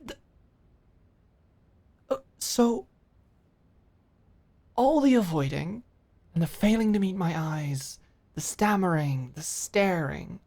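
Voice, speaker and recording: Woman acting an earnest, slow-spoken female office manager. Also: medium pitch, heavily acted. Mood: flustered, relieved. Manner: halting, sighing, emotionally expressive. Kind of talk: intimate ASMR roleplay audio drama.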